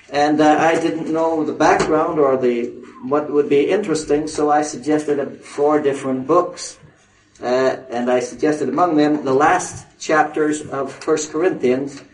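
An older missionary speaks haltingly to a conference audience, stopping often on fillers and restarting his phrases. He sounds set back from the mic, with the roomy ring of a hall and a faint hiss behind him. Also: fairly high pitch. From Northern Ireland. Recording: roomy hall recording from a distance.

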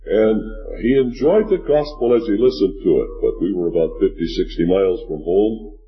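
An older man preaching a sermon in a measured, earnest way, stressing words slowly. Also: on the low side. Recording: dull lo-fi recording with faint music.

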